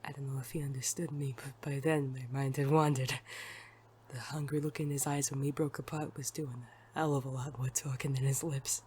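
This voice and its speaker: A man's voice: mid-range voice, level tone, fairly quick, darkish tone, a little hiss or hum, natural voice. Blunt hard-boiled detective played by a man. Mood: tender, brooding. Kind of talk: noir audio drama with first-person narration.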